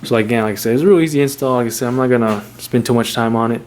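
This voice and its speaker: A man giving a casual, unscripted how-to in an informal, halting, repetitive way, like a hobbyist vlogger. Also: mid-range voice, a little mellow, slight background noise. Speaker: vlogger showing a DIY car install. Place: in and around a Jeep.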